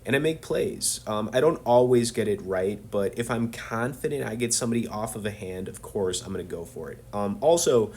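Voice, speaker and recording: Casual low-voiced man with a cold. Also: lively intonation, moderate pace, few pauses, darkish tone, slight background noise. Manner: loose and conversational, many fillers, chummy asides to viewers. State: has a cold. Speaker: poker video host. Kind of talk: casual hand-review commentary.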